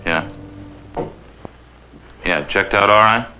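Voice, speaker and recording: An actor playing a steady, low-key police detective in a 1950s drama, asking brief, plain questions. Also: male voice, takes it slowly, exaggerated voice. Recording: dull, noisy vintage 1950s soundtrack.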